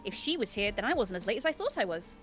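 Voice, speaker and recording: A woman reading aloud as a shy, flustered teenage schoolgirl in a visual novel, expressive and earnest. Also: mid-range voice, fast, music in the background, close to an everyday voice. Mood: earnest narration.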